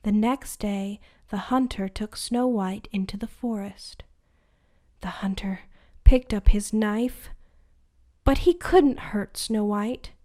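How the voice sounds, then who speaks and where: Very slow, hushed bedtime storyteller woman. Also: medium pitch. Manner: soft, hushed and soothing. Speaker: host and storyteller.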